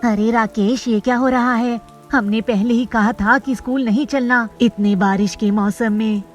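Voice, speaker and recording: A woman's voice: on the high side, steady tone, unhurried, dull audio, soft background music, close to an everyday voice. A woman voicing an earnest, worried village schoolchild in a cartoon moral story. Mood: alarmed, wondering.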